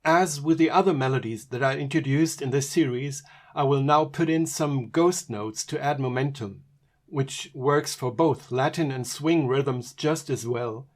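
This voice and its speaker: A man's voice: mid-range voice, a little slow. A man giving scripted instructional narration as a music teacher.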